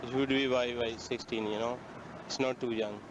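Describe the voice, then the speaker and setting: A man giving halting answers in an old street interview, often stalling and restarting. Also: medium pitch, steady tone, muffled recording, background noise. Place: outdoors on a street. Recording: old archive clip outdoors.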